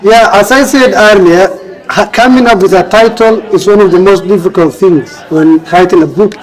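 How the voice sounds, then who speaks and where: A man's voice: high voice, moderate pace, rough recording. A man with a Somali accent answering interview questions, explaining earnestly and repeating phrases for emphasis. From Somalia, grew up in Kenya. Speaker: interview guest, author and refugee advocate.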